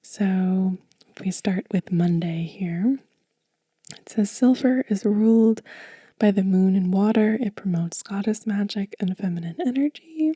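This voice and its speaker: A woman speaking gently and unhurriedly in a soft, whispery, breathy voice, close to the mic, as an ASMR host showing and telling. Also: mid-range voice, expressive, a little slow, no room sound. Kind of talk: soft-spoken ASMR show and tell.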